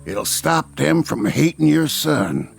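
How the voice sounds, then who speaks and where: Gravelly, sly frontier swindler. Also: male voice, on the high side, very animated, slow pace, faint music behind, exaggerated voice. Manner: wheedling, insinuating. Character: sly, greedy male frontier peddler, a smooth-talking swindler. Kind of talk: radio drama acting.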